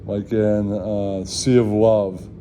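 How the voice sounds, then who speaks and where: Slow, very dark-voiced sweary man vlogging. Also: on the low side, little pitch movement, slight background noise. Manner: sweary, repetitive catchphrases. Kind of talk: casual rambling chat to camera.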